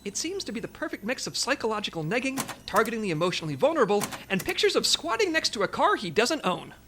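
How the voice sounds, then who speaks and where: A woman's voice: a little high, very animated, fast, noisy background. A woman playing a businesslike, patient intelligence section chief giving a briefing in a comedy audio drama, authoritative and diplomatic.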